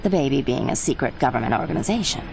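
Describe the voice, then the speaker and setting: An actress playing a sly, flirtatious cat burglar in a video game, teasing, sultry and mocking. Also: female voice, very deep voice, animated, quick pace, gravelly, background noise, full character voice.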